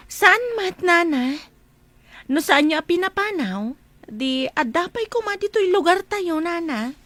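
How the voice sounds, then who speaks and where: An indignant young woman confronts her elder with pointed accusations, as an actress plays her. Her voice is high and the sound is dry and close. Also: animated, unhurried, a bit bright, no echo, heavily acted.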